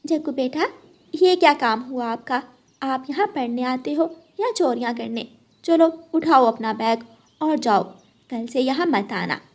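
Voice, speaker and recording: A woman's voice: high voice, animated, measured pace, exaggerated voice. A woman voicing a stern mother sparrow and teacher in a cartoon story, firm and scolding.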